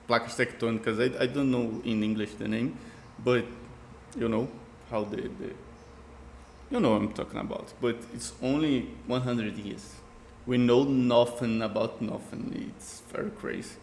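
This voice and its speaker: A Brazilian man with a Portuguese accent, reacting casually to a video and searching haltingly for English words. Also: medium pitch, fairly even intonation, creaky, darkish tone, echoey room, slight background noise.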